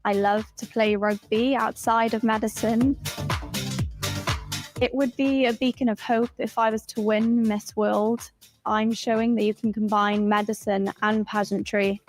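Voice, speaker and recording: A woman's voice: a little high, little pitch movement, unhurried. A woman in her twenties from Wales with a Welsh accent, giving a scripted pageant self-introduction. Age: 20s. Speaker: pageant contestant. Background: music.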